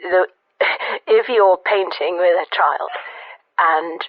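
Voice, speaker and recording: A woman's voice: lowish voice, slow pace, no echo. Earnest, dotty woman on the phone. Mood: earnest musing. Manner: hesitant, vague, earnestly explanatory. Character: earnest, dotty, arty female phone-in caller. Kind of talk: spoof radio phone-in. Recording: dull, dry telephone line.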